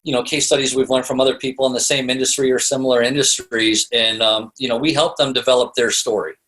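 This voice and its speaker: A man's voice: mid-range voice, little pitch movement, quick pace, a bit bright, low-quality recording. A man with a North American accent speaking as an interview guest, conversational and explaining things through examples. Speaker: interview guest, author and storytelling consultant. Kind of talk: casual interview talk.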